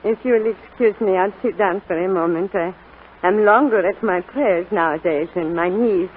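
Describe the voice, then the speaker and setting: A woman playing a kindly, devout French grandmother of seventy-seven in a 1940s radio drama, speaking gently and reflectively. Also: mid-range voice, normal speed, close to an everyday voice. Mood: warm, reminiscing. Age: older. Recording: noisy, tinny 1940s radio broadcast.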